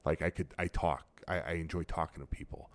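A middle-aged man hosting a casual podcast from his dining room, telling stories animatedly with loud bursts. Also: extremely low pitch, fairly even intonation, a little fast. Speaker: podcast host.

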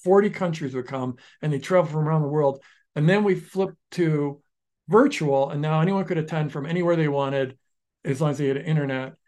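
A man with a slightly raised voice co-hosts a casual podcast conversation. He tells stories animatedly, speeding up and slowing down and restarting sentences as he goes. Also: fairly high pitch. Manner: animated storyteller, restarts sentences, shifts pace often. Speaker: podcast co-host, online business founder.

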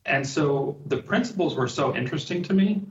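A man's voice: a little high, expressive, brisk. A middle-aged man from Liberia, a podcast guest, answering thoughtfully and linking his ideas with "and so". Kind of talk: interview answers. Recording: distant, roomy, dull remote call audio.

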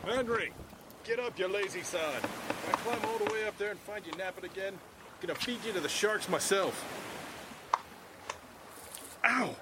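An actor playing a cheeky deckhand in an audio drama, talking in rough, nonstandard sailor speech. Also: male voice, high-pitched, moderate pace, long pauses, heavy background noise, close to an everyday voice. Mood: grumbling. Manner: rustic nonstandard sailor talk.

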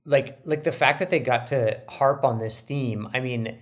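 A man's voice: mid-range voice, moderate pace. Agreeable male co-host, mid voice. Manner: agreeable, frequent backchannels and restarts. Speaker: podcast co-host. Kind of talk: casual analytical chat.

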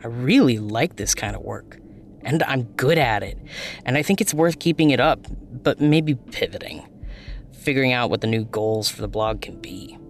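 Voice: mid-range voice, lively intonation, moderate pace, a little hiss or hum, lightly acted. An actor playing an earnest, playful, nerdy young blogger in a naturalistic audio drama, talking in a casual, wry way. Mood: earnest, hopeful.

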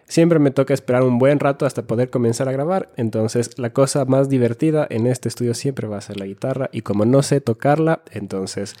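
A man in his twenties from Finland, co-hosting a casual podcast in a calm, dry way. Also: medium pitch, fairly quick, few pauses. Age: 20s. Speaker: podcast co-host. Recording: studio mic with guitar music behind. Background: soft guitar music.

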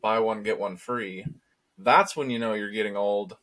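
A man's voice: lowish voice, moderate pace, a bit bright, rough recording. A man in his mid thirties co-hosting a podcast, laid-back and joking. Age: 30s. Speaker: podcast co-host. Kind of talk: casual chat.

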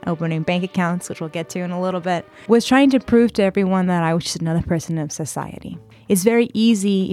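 A young woman from San Diego tells her own story to a podcast interviewer, chatty and loose, sprinkling in "like" and "uh". Her voice sits a little low for a woman. Faint music plays underneath. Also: darkish tone. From San Diego, California, US. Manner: chatty, frequent fillers like "like" and "uh". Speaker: guest.

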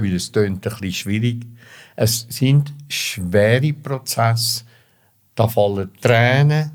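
Older man with a Swiss German accent. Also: lowish voice. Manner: emphatic, repeats words for stress. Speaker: interview guest telling his life story. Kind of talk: spontaneous personal storytelling.

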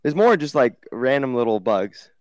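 A man's voice: medium pitch, big pitch swings, moderate pace, a little mellow, dry recording. A man chatting casually as host of a livestream, slangy and profane, often saying dude. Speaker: show host. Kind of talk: casual livestream group chat.

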